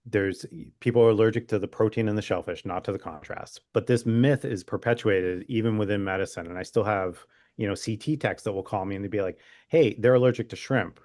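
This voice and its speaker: A man with a fairly low voice talks as a medical expert in an interview. He explains in a conversational, informal way with a wry streak, and the recording is dry with very little room sound. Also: a little fast, darkish tone, dry recording. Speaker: physician guest expert.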